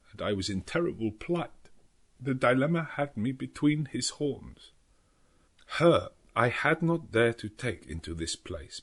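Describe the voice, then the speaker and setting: A man voicing an elderly professor and vampire hunter in an audiobook, solemn and anguished, with slow, halting foreign phrasing. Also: mid-range voice, husky. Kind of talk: audiobook character narration.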